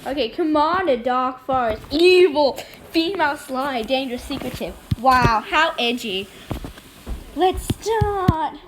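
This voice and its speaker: A woman's voice: high-pitched, animated, slow, noisy background. A young female host giving casual, playful gameplay commentary, giggly and given to mock-serious drama, sometimes talking with her mouth busy. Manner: talks while eating and sucking ice. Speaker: gaming video host.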